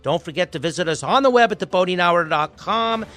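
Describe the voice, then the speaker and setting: A man's voice: high-pitched, lively intonation, a bit bright. Upbeat man hosting a boating radio show. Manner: chatty, halting, with many restarts and fillers. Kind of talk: casual radio interview and sign-off.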